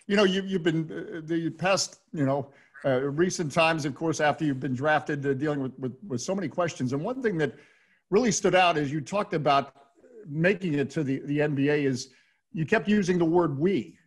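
Higher-voiced middle-aged American man hosting. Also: moderate pace. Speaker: host, radio play-by-play announcer. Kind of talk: hosted Q&A interview. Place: indoor room at home, on a video call. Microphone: video call.

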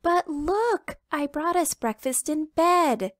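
A woman voicing an excitable little chicken in a picture book read aloud, squealing and sing-song. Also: high-pitched, slow, dry recording, clean studio sound, pitched above the performer's own voice. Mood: eager. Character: excitable little girl chicken, chatty and pushy.

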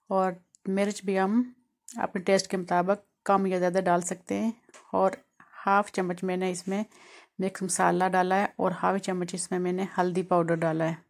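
A woman from Pakistan hosting a home cooking channel, calmly walking through each step of a recipe in a voice-over. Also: mid-range voice. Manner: calm, explanatory, walks through each step.

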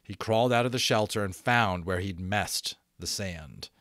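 A man in his thirties reading a novel aloud as an expressive, dramatic audiobook narrator. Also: on the low side, normal speed, dry recording, studio quality. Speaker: audiobook reader, voice actor. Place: small home room, indoors. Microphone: close desk microphone.

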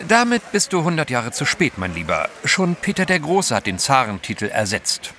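Ein Schauspieler spielt in einem Hörspiel einen vornehmen, abenteuerlustigen britischen Gentleman, höflich und etwas wichtigtuerisch. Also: medium pitch, wildly swooping pitch, normal speed, slight background noise. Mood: lecturing, amused. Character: genteel, adventurous British gentleman, fond husband. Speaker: actor in an audio drama. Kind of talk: German audio drama acting.

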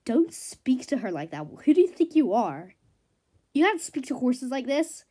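A woman's voice: sits high, sing-song, normal speed, dark tone, breathy edge, exaggerated voice. A woman voicing an outspoken, protective mare in a horse story, with a blunt, sarcastic, scolding manner.

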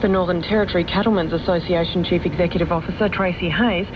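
A woman's voice: steady tone, fast talker. A woman with an Australian accent reading scripted radio news. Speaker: radio newsreader. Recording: dull radio playback with rumbling noise.

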